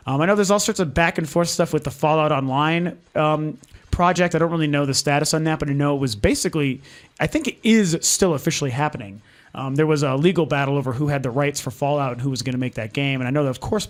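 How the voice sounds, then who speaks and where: A man hosting a video game vlog, hoarse from losing his voice, talking in a candid, frustrated way and swearing freely. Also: a little high. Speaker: video game channel host. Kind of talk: casual ranting vlog. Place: lives in Denver.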